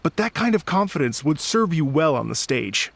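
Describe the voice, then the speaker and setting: Friendly male festival planner for a game: lightly high voice, very expressive, polite, a touch hesitant. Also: moderate pace, gravelly, no echo, heavily acted. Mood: earnest, polite. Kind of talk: animated game dialogue.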